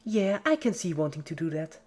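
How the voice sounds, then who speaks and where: Someone putting on a chirpy, sadistic party pony, pitched high. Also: very animated, brisk, darkish tone, lightly acted. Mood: chipper, reassuring. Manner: chirpy, jokey, playful while menacing. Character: giggly, sadistic female party pony, relentlessly cheerful.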